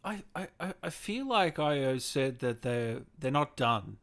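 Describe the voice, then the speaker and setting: Young male interviewer for a gaming show. Medium pitch, informal conversational delivery. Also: big pitch swings, measured pace, crowd noise. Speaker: interview host, gaming channel. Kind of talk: casual interview chat.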